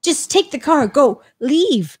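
A woman's voice: high voice, sing-song, slow pace. A sniffly middle-aged woman, chatting casually and teasingly as she co-hosts and reads out comments. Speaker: livestream co-host reading chat comments. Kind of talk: casual chat.

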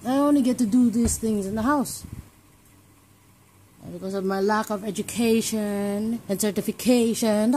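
A woman's voice: mid-range voice, darkish tone, a little hiss or hum, poor audio. A young woman from Hong Kong talking casually at home in a personal vlog, rambling and restarting her sentences as she thinks aloud. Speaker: vlogger. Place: home, indoors.

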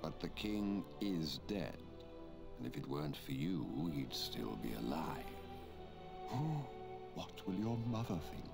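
An actor playing a scheming villainous lion uncle with a sly, gravelly voice, in a storybook recording. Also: male voice, rumbling low, big pitch swings, slow pace, music in the background, exaggerated voice. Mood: menacing.

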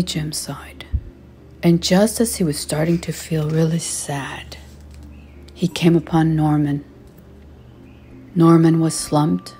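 A woman reading a children's story expressively and deliberately, dropping to a hush at the ends of phrases. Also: low voice. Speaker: volunteer storybook reader.

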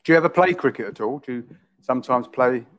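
A man's voice: mid-range voice, fast, slight background noise. London man on a headset. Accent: London English. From England. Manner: chatty, repeats and rephrases questions. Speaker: online English tutor. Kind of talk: casual video-call conversation. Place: small room indoors.

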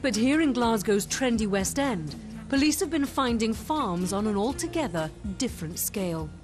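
A woman, a reporter, reading scripted documentary narration in a measured voice-over. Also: a little high, lively intonation. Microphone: studio voice-over. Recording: voice-over over music.

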